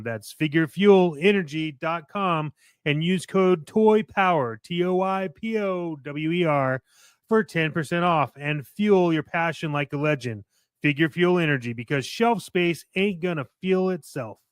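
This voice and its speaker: A man's voice: sits high, clear, resonant tone, no echo. A man hosting a radio-style podcast in a conversational, salesy way, moving between casual banter and upbeat sponsor reads on a close mic. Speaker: podcast and radio show host.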